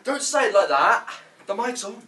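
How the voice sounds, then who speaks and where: A man's voice: high-pitched, very animated, moderate pace, sharp tone, recorded from a distance, full character voice. A man playing a fussy, defensive, nerdy young podcaster at a comedy table read, indignant and pedantic. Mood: irritated. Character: fussy, defensive, nerdy twenty-one-year-old male podcaster. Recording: faint room noise, off mic.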